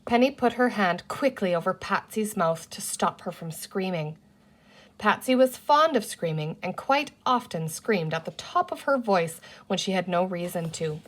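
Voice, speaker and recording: A woman's voice: mid-range voice, animated, moderate pace, a bit bright. Woman reading a children's story aloud. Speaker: storybook reader. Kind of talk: children's book read-aloud.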